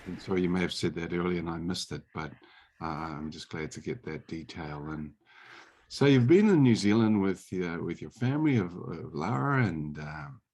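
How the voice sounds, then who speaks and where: A man's voice: low-pitched, lively intonation, moderate pace, darkish tone. A man hosting an interview, asking friendly questions and stumbling over repeated words now and then. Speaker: interviewer host.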